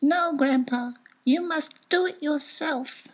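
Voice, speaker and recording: A man voicing a gentle, patient young boy who speaks softly and carefully. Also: extremely high pitch, slow, pitched above the performer's own voice, full character voice, poor audio. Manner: soft, careful, encouraging.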